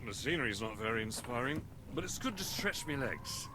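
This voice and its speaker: A man's voice: medium pitch, sing-song, brisk, very noisy, natural voice. A man playing a witty, roguish pirate captain in a video game, with sly, flowery, theatrical phrasing. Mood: wry, musing. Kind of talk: video game character acting. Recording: game music behind the voice.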